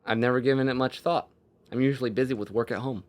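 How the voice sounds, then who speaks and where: A man's voice: mid-range voice, fast, natural voice. A man voicing a plain, impassive village boy in a fantasy story, polite, literal and familiar. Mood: unimpressed, polite. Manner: flat, respectful but familiar, unimpressed.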